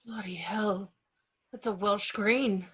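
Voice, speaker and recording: Woman as a bright-voiced, wry boy wizard. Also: medium pitch, steady tone, slow, breathy edge, dull audio. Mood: alarmed. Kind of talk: amateur screenplay table read.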